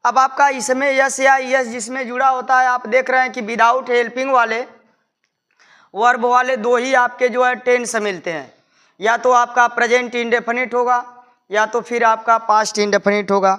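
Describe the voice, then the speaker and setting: Male Indian tutor, bright, crisp voice, step-by-step didactic delivery. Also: very high voice, little pitch movement, moderate pace. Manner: didactic, repeats instructions. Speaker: English grammar teacher. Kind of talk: instructional lesson, explaining step by step in Hindi with English terms.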